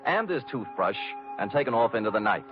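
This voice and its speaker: An actor playing a sardonic, deadpan private detective in a 1940s-style radio noir drama, narrating in the first person. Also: male voice, lowish voice, fairly quick. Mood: wry narration. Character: wry, hard-boiled male private detective. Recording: dull vintage radio recording with music.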